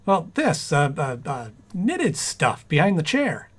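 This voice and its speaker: An actor as a flustered husky-voiced radio husband. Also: male voice, fairly high pitch, takes it slowly, full character voice. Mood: curious. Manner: stammering, excitable. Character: flustered, doting 1940s radio-comedy husband. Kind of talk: 1940s radio comedy acting.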